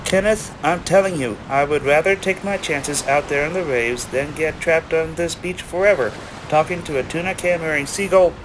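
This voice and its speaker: A man's voice: on the high side, normal speed, few pauses, natural voice. A man voicing a flustered, good-natured young male pony in a cartoon read-along. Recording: clearly noisy background.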